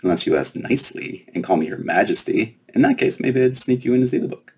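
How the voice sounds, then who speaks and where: A man's voice: on the low side, lively intonation, fast, creaky, a little mellow, husky, voice pushed up, heavily acted. A man reading a children's storybook, voicing a small, proud, teasing fairy princess who is breezy and self-important. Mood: breezy, smug. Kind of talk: children's storybook read aloud.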